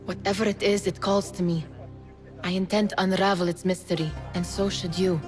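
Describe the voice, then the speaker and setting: A woman's voice: on the low side, fairly even intonation, brisk, close to an everyday voice. An actress playing a wry, determined young woman in a video game drama, speaking formally and with self-assurance. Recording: dramatic music behind the voice.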